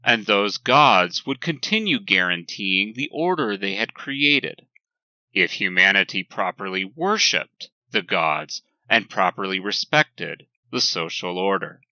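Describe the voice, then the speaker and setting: Male sermon reader, slow and emphatic, deliberate pauses, very crisp forceful tone, dry sound. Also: lowish voice, very animated, dry recording, muffled recording. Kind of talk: scripted lecture-like sermon reading.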